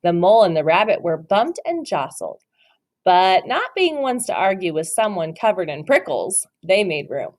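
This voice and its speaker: Expressive woman reading to children. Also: on the low side, moderate pace. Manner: encouraging, asks children questions. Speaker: teacher reading aloud. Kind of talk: children's read-aloud.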